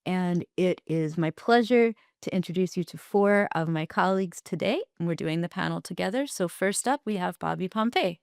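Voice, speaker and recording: A woman's voice: on the low side, lively intonation, moderate pace, vocal fry, few pauses, no room sound, clean studio sound. A woman moderating and introducing a panel in a formal, welcoming way.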